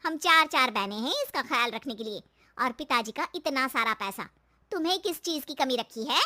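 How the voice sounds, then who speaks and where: A woman's voice: sits high, animated, moderate pace, pushed and bright. A woman voicing a haughty, mocking and demanding sister-in-law in a cartoon story. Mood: scornful.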